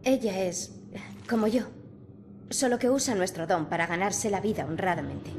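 An actress with a Castilian Spanish accent playing a defiant, sarcastic young medium in a video game, curt and guarded. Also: female voice, mid-range voice, moderate pace, darkish tone, slight background noise, close to an everyday voice. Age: young adult. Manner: curt, snarky. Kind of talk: video game cutscene dubbing.

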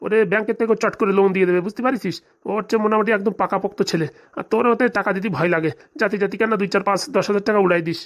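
Woman voicing a grumbling, fast-talking cartoon father. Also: medium pitch, steady tone, lightly acted. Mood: doubtful, chiding. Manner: grumbling, blustering. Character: grumbling, worried middle-aged father, blustering but indulgent. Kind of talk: comic cartoon dialogue.

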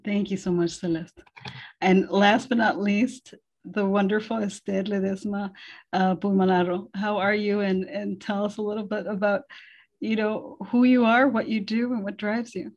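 A woman's voice: mid-range voice, fairly even intonation, moderate pace, darkish tone. A middle-aged woman moderating a webinar panel in an upbeat, chatty way. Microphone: video call. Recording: muffled video call audio.

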